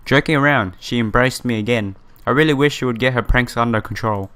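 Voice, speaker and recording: A man's voice: mid-range voice, fairly quick, noisy background. A man reading a visual novel aloud in a casual playthrough, stumbling over words now and then and reacting with his own commentary. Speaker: gaming YouTuber.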